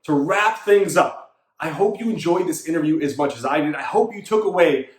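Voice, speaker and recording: A man's voice: on the high side, lively intonation, normal speed, roomy sound. A man in his thirties, a fitness coach and show host, delivering an emphatic, repetitive motivational monologue to his listeners. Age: 30s.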